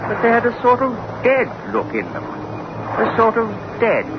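Chummy posh old-boy passenger, high voice, wildly up and down, old radio drama. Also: huge pitch swings, a little slow, full character voice. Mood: breezy, curious. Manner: chatty, old-boy politeness. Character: chummy, breezy upper-class shipboard acquaintance. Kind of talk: 1960s radio horror acting. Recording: muffled, noisy old radio recording.

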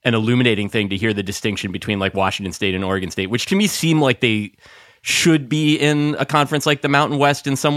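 A man's voice: lowish voice, fairly bright tone, no echo, clean studio sound. A man co-hosting a casual sports podcast, talking in a rambling, wry way with some swearing. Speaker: podcast co-host.

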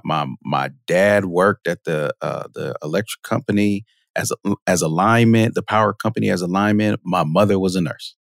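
A man guesting on a podcast, casually telling stories about his career, laughing often and jumping up in pitch for quoted reactions. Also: creaky, no room sound, studio quality. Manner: lively storyteller, jumps high for quoted reactions, laughs often. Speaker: podcast guest, marketing automation expert. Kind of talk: casual interview storytelling.

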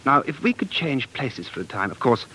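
A man's voice: mid-range voice, big pitch swings, normal speed, pushed and bright, a little hiss or hum, rough recording. An actor playing an earnest, dreamy man who is obsessed with the past, narrating intensely in 1940s radio drama style. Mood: eager, absorbed. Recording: vintage 1940s radio broadcast with music.

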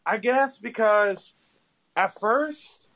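A man's voice: sits high, animated, very slow, fairly bright tone, slight background noise. A man talking casually as a fan guest on a sports call-in show.

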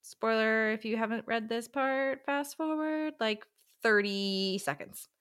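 A woman's voice: on the high side, a little slow, fairly bright tone, smooth. A woman hosting a book podcast, chatting casually in a slangy, exclamatory way. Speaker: podcast host.

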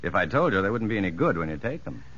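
Earnest, bright-voiced American psychologist. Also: male voice, on the low side, sing-song, slight background noise. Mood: mild, puzzled. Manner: polite, earnest, measured. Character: earnest, inexperienced prison psychologist, mild-mannered man. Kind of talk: 1950s radio drama acting with first-person narration. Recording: muffled old radio broadcast.

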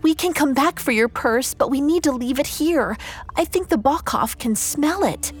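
A woman voicing a young girl who is frightened and furious at her father, speaking sharply and rising to shouts. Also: high-pitched, expressive, moderate pace, noisy background, pitched above the performer's own voice. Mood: urgent.

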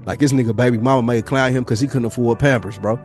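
A man's voice: mid-range voice, quick pace, faint music behind. Man talking animatedly on a podcast. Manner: animated storyteller acting out imagined exchanges, swearing freely. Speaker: podcast speaker. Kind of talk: casual podcast discussion.

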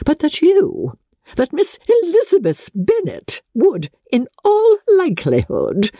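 A domineering older lady of rank from a classic storybook. Her delivery is pompous and emphatic, leaping high in indignation. The voice has a husky grain and sits close and dry. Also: warm tone, no room sound, exaggerated voice.

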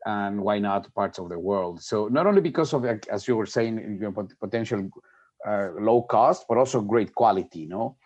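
A man from Mexico speaking as an interview guest, his fairly low voice coming through a dull, lo-fi line. He searches for words, restarting phrases and filling gaps with "uh" and "you know". The delivery is earnest and careful. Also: a little mellow. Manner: halting, many restarts and fillers. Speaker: guest, company executive. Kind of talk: interview answers.

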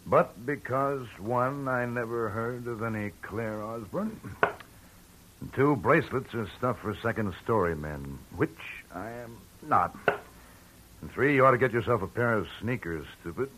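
A man playing a cocky, menacing hoodlum in a 1940s radio drama, mocking and falsely friendly, on an old broadcast with clear hiss. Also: mid-range voice, expressive, measured pace, lightly acted.

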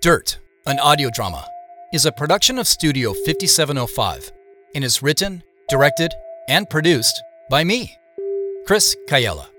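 A man's voice: fairly high pitch, big pitch swings, normal speed. A man reading scripted narration and credits for an audio drama. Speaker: narrator and producer. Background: music.

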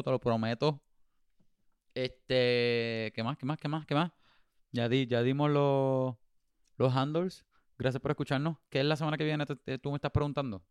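Very clear-voiced podcast host. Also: male voice, medium pitch, dry recording. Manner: playful, exclamatory, laughs often. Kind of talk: casual podcast chat mixing Spanish and English.

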